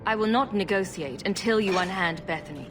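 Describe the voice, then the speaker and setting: A woman playing a calm, resolute champion in a fantasy game, composed and diplomatic. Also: medium pitch, fairly quick, noisy background, lightly acted. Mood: resolute, concerned. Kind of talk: fantasy video game acting.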